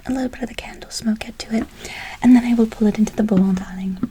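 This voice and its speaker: A woman playing a gentle, doting witch in a whispered ASMR role play, speaking affectionately and unhurriedly, often repeating herself. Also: on the high side, fairly even intonation, quick pace, noisy background, lightly acted. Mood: soothing, doting. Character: gentle, doting female witch casting good-luck spells. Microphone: close ASMR microphone.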